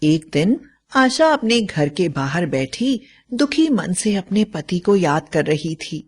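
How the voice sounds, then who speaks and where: A woman's voice: on the low side, sing-song, takes it slowly, a little mellow, slightly rough. A woman narrating a scripted story as a storyteller.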